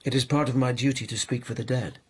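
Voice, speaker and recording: An actor playing a loyal, probing palace lieutenant in a radio drama, speaking tersely and formally. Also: male voice, mid-range voice, steady tone, quick pace, breathy edge, lightly acted. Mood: sober.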